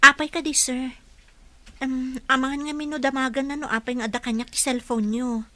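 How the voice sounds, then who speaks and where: A woman's voice: high-pitched, little pitch movement, fairly quick, sharp tone. A woman playing an earnest, respectful young nurse in a radio drama, hesitant and deferential. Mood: respectful, surprised.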